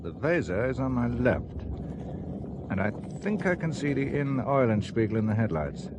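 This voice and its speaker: Actor playing male spy, alert, determined, wary, lively intonation, medium pitch, radio drama, faint background ambience. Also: moderate pace, background noise, natural voice. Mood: tense, watchful. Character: alert, determined male spy, turning tough under pressure.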